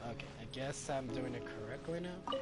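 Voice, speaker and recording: A man's voice: medium pitch, fairly quick, over loud music. A man giving casual live commentary on a game as a streamer, hesitantly thinking aloud.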